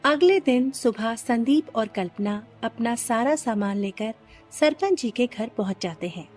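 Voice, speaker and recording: A woman's voice: fairly high pitch, animated, unhurried, a little mellow, faint music behind. A woman giving scripted narration for a children's cartoon story.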